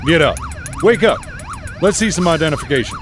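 An actor playing a stern, sceptical immigration officer in a radio drama, curt and commanding. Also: male voice, a little high, wildly swooping pitch, moderate pace, noisy background.

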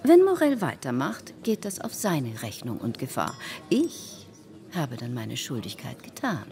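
An actress playing an imperious, scornful older society hostess in a radio play, conspiratorial and dramatic in manner. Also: female voice, very deep voice, huge pitch swings, unhurried, a crowd in the background, full character voice. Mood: conspiratorial, indignant. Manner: conspiratorial, commanding, dramatic, sinking into hushed endings. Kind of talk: German radio play acting.

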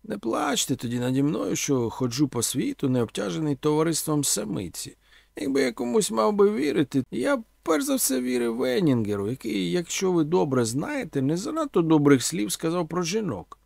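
A man's voice: sits high, sing-song, moderate pace, vocal fry, darkish tone, dry recording, clean studio sound, pitched above the performer's own voice, full character voice. A man voicing a pompous, sardonic, tipsy vagabond intellectual who declaims in bookish, mocking phrases. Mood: mocking, lofty.

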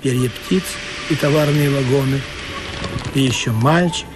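An actor playing a wistful, questioning sailor who tells of an absurd sea voyage in a deliberate, musing radio play manner. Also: male voice, mid-range voice, noisy background, lightly acted. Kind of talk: literary radio play narration and acting. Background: music under the voice.

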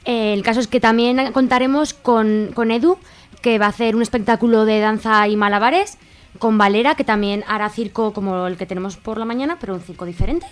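A woman's voice: on the high side, fairly quick, faint music behind. A woman with an accent from Spain talking informally as a festival organizer in a radio interview. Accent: Spanish from Spain.

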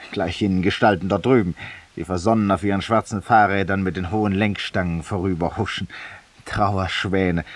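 An actor playing an urbane, talkative, self-mocking judge-penitent and tavern regular, with polished, ironic rhetoric in a 1950s German radio drama. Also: male voice, deep voice, husky. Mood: dreamy, eloquent. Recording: distant-sounding 1950s radio recording.

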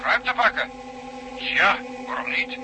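A man's voice: very high-pitched, lively intonation, measured pace, bright, forceful tone, full character voice. An actor playing a defensive male informant making a report, in a Dutch radio drama. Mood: defensive, certain. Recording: noisy old radio recording.

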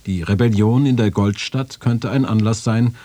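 A man's voice: fairly low pitch, moderate pace, dark tone, dry recording. A man reading quotations in a scripted radio essay. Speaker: reader of quotations.

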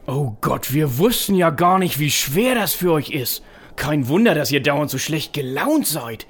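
A man's voice: fairly high pitch, big pitch swings, measured pace, a bit bright, slightly rough, full character voice. A man playing a devout, effusive office tribe leader who mixes preacher-like pomp with chummy talk in a comedic audio drama. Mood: sympathetic, brotherly.

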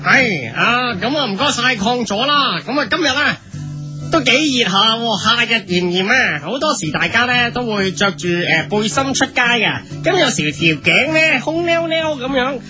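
A man's voice: very high-pitched, lively intonation, normal speed, music in the background. A man voicing a spry, chatty seventy-year-old co-host of a parody TV lifestyle show in a radio comedy. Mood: cheerful.

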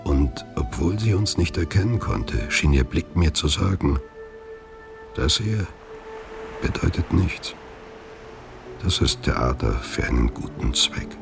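A man's voice: very deep voice, sing-song, takes it slowly, creaky, long pauses, exaggerated voice. An actor playing a man who reads out his own written story in a literary radio drama narration. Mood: wistful. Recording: music playing behind the voice.

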